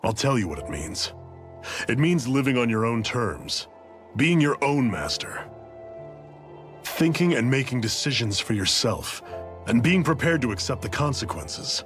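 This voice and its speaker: A man playing a stern, principled resistance mentor in a video game cutscene, speaking firmly and gravely. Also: medium pitch, very animated, faint music behind. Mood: challenging, firm. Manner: firm, measured, admonishing.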